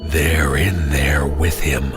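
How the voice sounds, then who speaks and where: A man voicing a terrified, sobbing young student in a horror story, speaking haltingly and pleadingly. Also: very deep voice, flat delivery, music in the background, voice pushed down. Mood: haunted, fearful. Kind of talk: horror story character dialogue.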